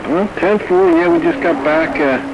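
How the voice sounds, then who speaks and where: A man's voice: on the high side, normal speed, highs cut off. A man chatting casually as a caller over CB radio. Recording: noisy two-way radio transmission.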